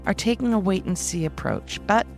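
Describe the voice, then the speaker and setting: A middle-aged woman hosting a podcast, speaking in measured, deliberate, emphatic phrases as she introduces and questions. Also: medium pitch, animated, gravelly, a little mellow, faint music behind. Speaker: podcast host and interviewer. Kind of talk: scripted introduction and formal interview questions.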